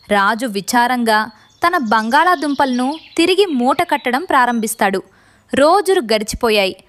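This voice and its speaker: Very high-pitched woman storyteller. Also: fairly bright tone. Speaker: narrator. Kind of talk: scripted children's story narration.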